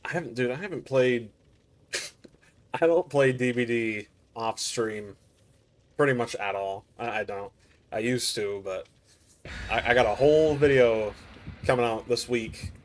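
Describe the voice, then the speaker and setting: A man with a raspy, hoarse voice from a recent cold, chatting casually to his viewers while playing a game on a live stream. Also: mid-range voice, a little slow, long pauses. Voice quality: raspy throat. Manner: laid-back, chatty, slangy asides to chat. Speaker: gaming streamer. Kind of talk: casual live gameplay commentary.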